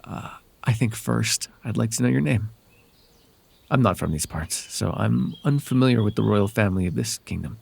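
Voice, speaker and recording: A man voicing a whimsical, softly possessive male fairy in an intimate, hesitant, conversational ASMR roleplay. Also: fairly low pitch, moderate pace, gravelly, slightly rough, heavily acted. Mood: gently curious.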